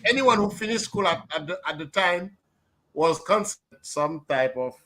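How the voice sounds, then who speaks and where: An older man from Haiti, a guest on a video call, speaking in a measured, reflective way with many fillers. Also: sits high, lively intonation, bright, forceful tone, faint music behind. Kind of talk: casual interview talk.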